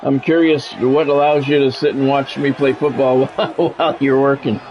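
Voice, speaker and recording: A man's voice: mid-range voice, flat delivery, normal speed, slight background noise. Guy streaming with sports announcer style calls n casual chat, bit muffled, music in the back. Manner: announcer-like, clipped play calls. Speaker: game streamer calling play-by-play. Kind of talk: sports commentary mixed with casual livestream chat.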